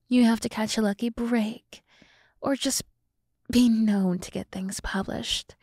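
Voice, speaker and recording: A woman voicing a seductive, scheming demon woman in an ASMR roleplay, often dropping to a breathy whisper as she slowly coaxes and condescends. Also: mid-range voice, a little mellow, dry recording, studio quality. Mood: knowing, coaxing. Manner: slow, teasing, condescending toward humans. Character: seductive, scheming demon woman, coolly menacing yet coaxing. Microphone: close microphone, dry sound.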